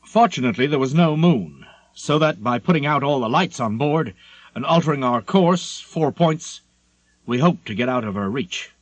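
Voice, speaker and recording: Expressive male audiobook narrator, lively pitch. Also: moderate pace, no room sound, low-quality recording. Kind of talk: scripted literary narration of a memoir.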